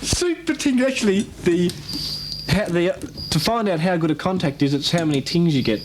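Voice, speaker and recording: A man's voice: high-pitched, animated, brisk, background noise. A man chatting casually as an amateur radio operator, rambling and hesitant, often repeating himself.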